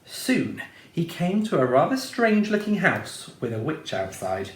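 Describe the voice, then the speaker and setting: A man reading a children's storybook aloud in a playful, expressive way. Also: a little high, a little slow, echoey room.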